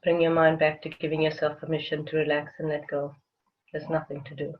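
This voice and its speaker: A guided-meditation voice of middling pitch, soothing and unhurried, leaving long pauses between gentle phrases. The recording is dull and lo-fi, with the highs rolled off. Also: monotone.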